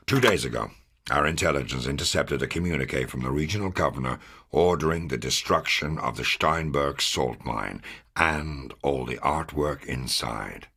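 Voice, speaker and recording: A man playing a gruff, fatherly, middle-aged American intelligence officer in a wartime video game, grave and authoritative, with a gravelly, husky voice. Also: rumbling low, bright, forceful tone, exaggerated voice. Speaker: military intelligence officer giving mission briefings. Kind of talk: scripted dramatic video game briefing.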